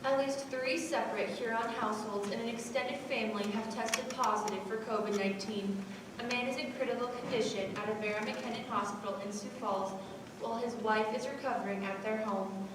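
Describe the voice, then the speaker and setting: A woman's voice: a little high, steady tone, a little fast, natural voice. A woman playing a serious news reporter, reading a formal bulletin within a stage play. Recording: very echoey hall, distant mic, noisy.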